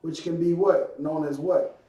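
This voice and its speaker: A man leads a language class in a somewhat higher voice, slowing down to repeat each word for his students and checking in with "you understand?" The sound is roomy and echoing, with the mic set well away from him. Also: fairly even intonation, dark tone. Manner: repeats words slowly for drilling, frequent "you understand?". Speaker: Hebrew language teacher. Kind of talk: classroom lesson, call and response. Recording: echoey room, mic far off.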